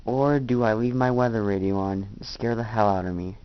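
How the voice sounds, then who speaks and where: A man's voice: lowish voice, a little fast, clean tone. A tired man chatting casually to viewers as he narrates his own amateur video. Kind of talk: casual vlog chat. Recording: dull lo-fi home recording.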